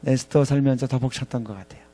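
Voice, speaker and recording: A man in his fifties preaching a reflective sermon to ministry staff in a halting way, pausing often and repeating phrases. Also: medium pitch, steady tone, dark tone. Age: 50s. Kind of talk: reflective sermon with scripture readings.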